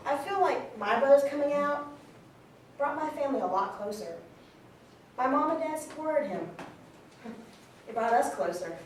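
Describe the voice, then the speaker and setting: An animated young woman, an actress playing a fond sister in a stage monologue. Her high, lively voice tells the story informally, from far off in a very echoey room. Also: moderate pace, pauses often. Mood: reflective, fond. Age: 20s. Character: twenty-eight-year-old sister fondly recalling her brother. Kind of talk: conversational monologue in a staged reading. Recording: very echoey room, distant mic, noisy.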